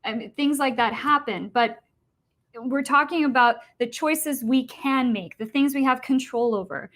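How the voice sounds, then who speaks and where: A woman's voice: high-pitched, normal speed, fairly bright tone. A woman from Costa Rica arguing the vegan side in an online debate, explaining earnestly with frequent fillers.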